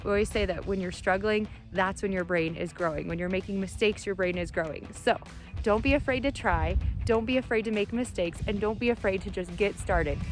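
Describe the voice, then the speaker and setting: A woman teacher reading a storybook aloud with asides to children, expressive and encouraging, in simple child-directed phrasing. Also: medium pitch.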